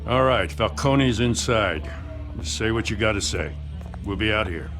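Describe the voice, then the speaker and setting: Firm police officer over music. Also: male voice, lowish voice, a little slow. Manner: plain-spoken, firm, businesslike. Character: steady, principled male police officer in charge. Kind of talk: video game voice acting.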